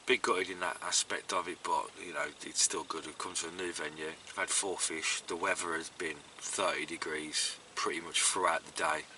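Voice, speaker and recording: A man's voice: low voice, moderate pace, few pauses, slight background noise, poor audio. A man vlogging outdoors at a lakeside, talking casually to camera with lots of fillers and sounding tired and congested. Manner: relaxed, chatty, with many fillers. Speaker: fishing vlogger.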